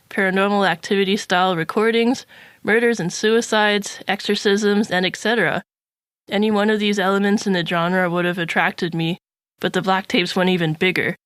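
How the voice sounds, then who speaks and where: A woman's voice: mid-range voice, a bit bright, smooth, no echo. Woman, mid-range voice, podcast reviewer, scripted delivery, dry recording without room sound.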